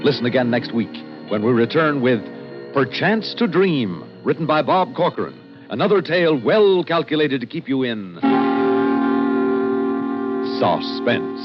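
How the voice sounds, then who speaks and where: An animated man reads formal radio credits in mid-century announcer style. His medium-pitched voice rises and falls widely, with music behind him on a dull old broadcast.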